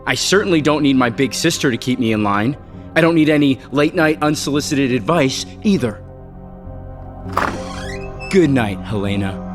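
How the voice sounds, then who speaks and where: A man's voice: medium pitch, expressive, moderate pace, long pauses, music in the background, natural voice. A man playing a defiant, guarded adult heir in an audio soap opera, curt and dismissive. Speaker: actor.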